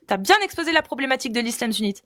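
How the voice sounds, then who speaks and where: A woman's voice: on the high side, animated, rapid-fire, pushed and bright. A woman hosting a live stream debate, pressing her guest with pointed questions. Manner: probing, insistent questioning. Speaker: host.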